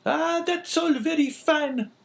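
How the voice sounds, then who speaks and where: A man reading an audiobook voices a sickly, suspicious wife in a shrill, scolding tone. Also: slow, gravelly, exaggerated voice.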